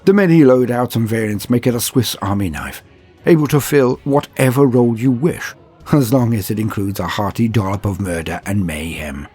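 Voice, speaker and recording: A man's voice: lowish voice, lively intonation, normal speed, dark tone, husky, soft background music. A man hosting and narrating scripted fantasy wargame lore in a theatrical, florid, formal manner. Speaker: host and narrator of a tabletop wargame lore channel.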